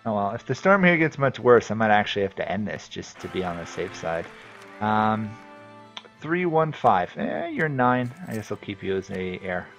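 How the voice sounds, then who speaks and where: A man from New England with a New England accent, casually commenting on his strategy game as he plays and thinking out loud with many fillers. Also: on the low side, big pitch swings, moderate pace, faint music behind. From New England, USA. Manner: thinks aloud, rambling with fillers. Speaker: game streamer. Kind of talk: casual commentary while playing.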